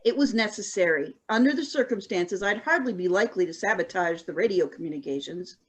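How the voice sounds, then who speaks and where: A woman's voice: medium pitch, animated, fairly quick, breathy edge. A woman playing an accused official defending herself in a scripted radio play, earnest and protesting. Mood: defensive.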